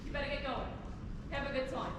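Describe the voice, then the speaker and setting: A woman's voice: sits high, steady tone, fast talker, lightly acted. A young woman playing a bitter, brittle, needling middle-aged mother in a stage play. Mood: subdued, fretful. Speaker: student stage actor. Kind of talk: theatrical stage dialogue. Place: theatre stage, recorded from far off. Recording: very echoey hall, distant mic, faint noise.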